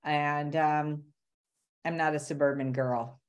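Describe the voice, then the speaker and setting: A middle-aged woman with a notably low voice speaks on a video call from a room at home. She is a career strategist in casual conversation, animated and quick to restart a phrase. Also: highs cut off. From Boston area, USA. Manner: animated, restarts and repeats words. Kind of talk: casual interview talk.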